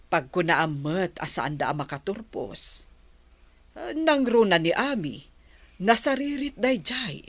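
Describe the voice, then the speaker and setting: A woman's voice: on the low side, very animated, measured pace, no room sound, exaggerated voice. Radio-play mother, patient and admonishing, taking her time, recorded close and dry. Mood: musing, advising. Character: middle-aged mother, patient and gently admonishing. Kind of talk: audio drama acting.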